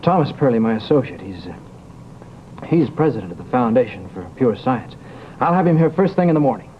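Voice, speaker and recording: An actor playing a pompous, skeptical, easily rattled doctor in old-time radio horror-comedy, with a fussy, sputtering manner. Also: male voice, medium pitch, very animated, brisk, close to an everyday voice. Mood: shaken, pleading. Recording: dull, noisy vintage radio recording.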